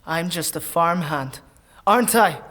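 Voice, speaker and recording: An actor playing a humble, loyal young farmhand in a dark fantasy audio drama, with an earnest, anxious, pleading delivery. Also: male voice, a little high, lively intonation, measured pace. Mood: subdued, wary. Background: music.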